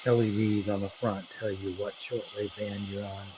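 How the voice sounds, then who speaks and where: A man's voice: on the low side, little pitch movement, normal speed. A man giving a casual, offhand hands-on demonstration of a radio as its reviewer. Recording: clear background radio static noise. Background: shortwave radio noise.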